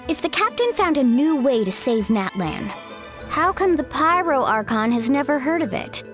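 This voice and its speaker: A woman's voice: high-pitched, sing-song, moderate pace, a bit bright, faint music behind. A woman playing an upbeat, loyal young female adventurer in a video game, with a breezy, friendly manner. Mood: earnest. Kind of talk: video game character acting.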